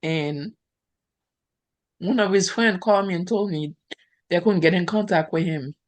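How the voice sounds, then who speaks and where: A woman with a lower pitch whose first language is Creole. She speaks in a soft-spoken, emotional way. She is giving a personal testimony on a group call. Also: measured pace. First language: Haitian Creole. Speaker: counselor exam passer.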